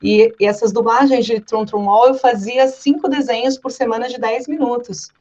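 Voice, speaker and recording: A woman's voice: a little high, steady tone, moderate pace, darkish tone. A Brazilian woman, a professional voice-over artist, chatting casually and explaining her work from experience. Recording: slightly dull, muffled audio.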